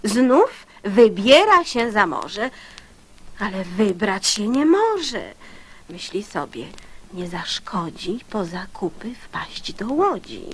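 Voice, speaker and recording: Slow, husky, expressive woman reciter. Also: medium pitch. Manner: deliberate, storytelling. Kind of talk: expressive recital of children's verse.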